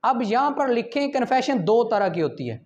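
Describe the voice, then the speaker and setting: A man with a Pakistani accent lecturing law students, reading formal passages slowly and deliberately, then explaining them didactically. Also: extremely high pitch, very animated, darkish tone, muffled recording.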